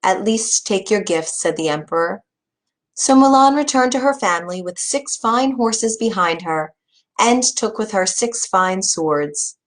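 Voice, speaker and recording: A woman storyteller reading a scripted bedtime story for children, at a medium pitch. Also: expressive, unhurried.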